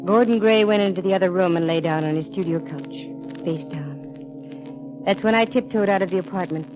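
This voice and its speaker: A woman's voice: fairly low pitch, moderate pace, close to an everyday voice. A woman playing a cool, shrewd female private eye in a 1940s radio drama, composed and wry. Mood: narrating, wry. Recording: dull vintage radio recording, music behind.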